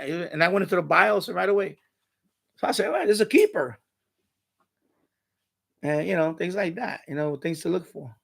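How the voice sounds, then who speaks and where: A man hosting a casual live stream, rambling and repeating himself in halting, unscripted sentences. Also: high voice, creaky, a bit bright, husky. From New York City, USA.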